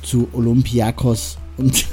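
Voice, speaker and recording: A man's voice: medium pitch, level tone, takes it slowly, warm tone, faint music behind. A man hosting a casual radio show, chatting with asides and reading sports news in a rambling, self-deprecating way. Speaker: radio host.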